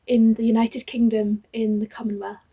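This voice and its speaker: High, husky woman. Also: flat delivery, normal speed, mellow. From northeast Scotland. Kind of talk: storytelling.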